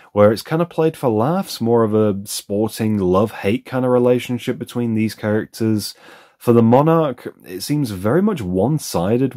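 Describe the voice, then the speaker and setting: A man with a notably low voice talks through an unboxing in a relaxed, conversational voice-over. He is candid and opinionated, weighing pros and cons as he goes. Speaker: reviewer.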